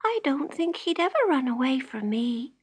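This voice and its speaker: A woman's voice: high-pitched, lively intonation, moderate pace, husky, pitched above the performer's own voice. A woman voicing an innocent little farm girl in a children's storybook, earnest and childlike.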